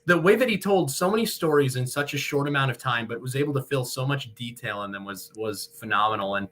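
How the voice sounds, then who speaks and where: A man's voice: mid-range voice, lively intonation, quick pace, fairly bright tone. A young man, a sports broadcaster, giving articulate, wordy answers as an interview guest. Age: young adult. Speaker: guest, radio hockey play-by-play broadcaster.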